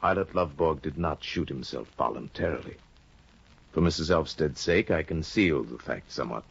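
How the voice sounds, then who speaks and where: A sly middle-aged judge probes with a very deep, husky voice. His pitch rolls widely as he insinuates, quietly menacing. The sound is the dull, lo-fi tone of a 1950 radio play. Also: expressive, normal speed, exaggerated voice. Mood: insinuating. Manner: smooth, probing, quietly menacing. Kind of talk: 1950s radio drama acting.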